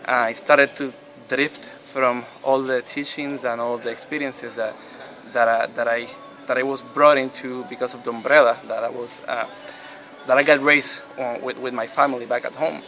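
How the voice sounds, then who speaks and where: Hesitant man from Puerto Rico, flat delivery. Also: medium pitch, normal speed, poor audio. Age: 30s. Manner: hesitant, many restarts and fillers. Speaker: interviewee. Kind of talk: personal testimony interview.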